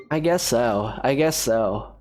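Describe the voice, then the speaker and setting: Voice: medium pitch, darkish tone. A video game streamer giving casual, chatty commentary to viewers, rambling and thinking aloud. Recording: game music behind the voice. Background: video game music.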